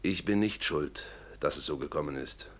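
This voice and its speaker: An actor playing a defensive carpenter giving testimony in a drama, formal and self-justifying. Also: male voice, low voice, moderate pace, fairly bright tone. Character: defensive male carpenter testifying, evading blame. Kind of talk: stage-drama courtroom monologue.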